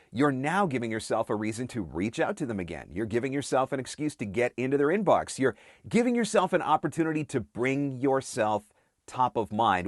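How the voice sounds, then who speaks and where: A man's voice: medium pitch, no echo, clean studio sound. A man coaching in a direct advice-video style, emphatic and repeating words for stress. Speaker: voice-over marketing coach and video host. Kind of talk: direct-to-camera advice talk.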